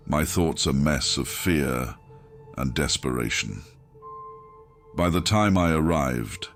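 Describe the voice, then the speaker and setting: A man reading a scripted first-person horror story in a grim, measured, dramatic manner, as an audiobook narrator. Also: very deep voice, fairly bright tone.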